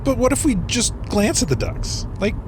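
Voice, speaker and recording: A man's voice: very high voice, huge pitch swings, normal speed, heavily acted. A man playing a playful, childlike goofball on a road trip in a comedic audio drama, enthusiastic and teasing. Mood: pleading, teasing. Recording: car and road noise behind.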